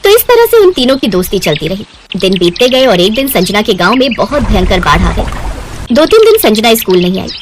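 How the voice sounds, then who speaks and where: A woman's voice: mid-range voice, very animated, fairly quick, noisy background. A woman storyteller narrating a scripted moral story.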